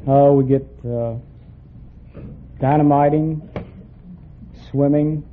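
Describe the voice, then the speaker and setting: A man's voice: on the high side, fairly even intonation, takes it slowly, narrow, tinny audio. A young man answering interview questions as a quiz contestant, in a plain and hesitant way. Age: young adult. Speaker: navy frogman, quiz contestant. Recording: thin, faintly noisy old radio recording.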